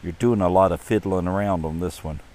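A man's voice: sits low, fairly even intonation, brisk, a little mellow, close to an everyday voice. A skeptical female angel, read by a man. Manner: earnest storybook reading.

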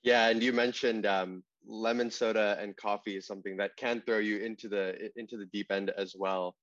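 A man's voice: lowish voice, steady tone, moderate pace, a bit bright, no room sound. Interviewer: man, upbeat, dry, muffled recording. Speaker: podcast interviewer. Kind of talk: interview questions. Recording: dull lo-fi sound.

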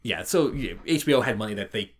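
A man co-hosting a podcast in casual conversation, rephrasing himself haltingly as he goes. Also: on the low side, expressive. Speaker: podcast co-host. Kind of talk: casual chat.

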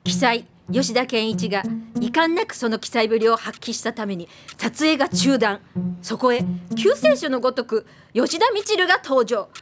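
High-voiced, sharp-tongued daughter. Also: female voice, big pitch swings, soft background music. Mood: sarcastic, irritated. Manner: sardonic, blunt, quick to retort. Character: sharp-tongued, resentful screenwriter daughter of a film director. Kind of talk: naturalistic radio drama dialogue.

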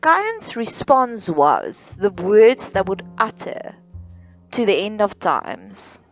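A woman's voice: medium pitch, sing-song, slow. A woman from South Africa, a native Afrikaans speaker with a South African accent, delivering a careful solo podcast monologue with occasional restarts. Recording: dull lo-fi sound with faint music.

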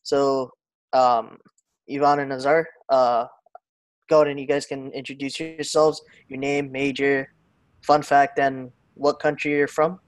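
A young man moderating a live Q&A, asking questions in a relaxed way with fillers. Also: medium pitch, dry recording, poor audio. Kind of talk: hosting a live Q&A.

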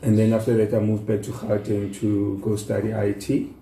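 A man in his thirties with a South African accent, speaking casually and haltingly as an interview guest. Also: on the low side, monotone, gravelly, warm tone. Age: 30s. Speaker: podcast guest. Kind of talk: casual self-introduction. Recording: echoey room, faint background noise.

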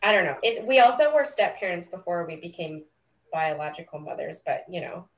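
A woman therapist and clinical social worker with a high-pitched voice, speaking softly and a little unsure. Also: a little fast. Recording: roomy echo.

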